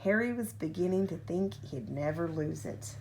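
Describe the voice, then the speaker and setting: A woman reading a children's picture book aloud like a parent at bedtime, with expressive, deliberate phrasing and drawn-out key words. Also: fairly low pitch, creaky, poor audio. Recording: home recording with faint background noise.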